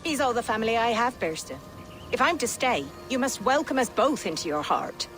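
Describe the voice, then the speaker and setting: A woman's voice: fairly high pitch, very animated, brisk, a bit bright, soft background music. A woman playing a defiant, plain-spoken, middle-aged countrywoman in a period game drama, with earthy archaic phrasing. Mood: tender, frank. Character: defiant, plain-spoken poor countrywoman, protective older sister.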